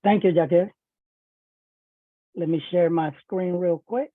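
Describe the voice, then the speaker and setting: A man's voice: high-pitched, steady tone, measured pace, no echo. A man, giving a casual webinar talk over a video call, often repeating and restarting his words. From Puerto Rico. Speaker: webinar speaker, cybersecurity professional. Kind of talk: casual presentation. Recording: thin phone-like online call audio.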